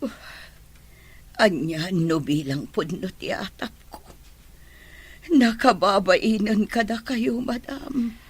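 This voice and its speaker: Husky, anxious housemaid played by a woman, Ilocano accent. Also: mid-range voice, slow, pauses often. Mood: worried, shocked. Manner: respectful, worried. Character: anxious, deferential housemaid fretting over Dorina. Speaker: voice actor in drama podcast. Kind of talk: emotional radio drama acting.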